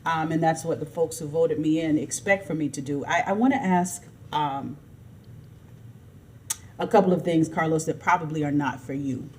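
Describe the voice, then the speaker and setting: A woman commissioner speaking at a city meeting, asking earnest, measured questions. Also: low-pitched, a little hiss or hum. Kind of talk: public meeting remarks. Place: city council chamber.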